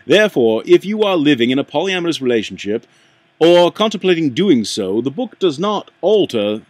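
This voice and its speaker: A man reading a book aloud in a halting way, stumbling and rereading lines, with a put-on accent. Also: on the high side, very animated, no room sound. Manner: puts on an accent while reading. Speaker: amateur reader.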